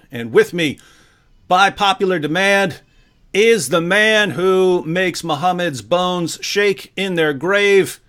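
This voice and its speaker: Upbeat male host of a live stream, slightly high voice, playful and chatty. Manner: jokey, energetic, conversational. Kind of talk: live-stream talk show hosting.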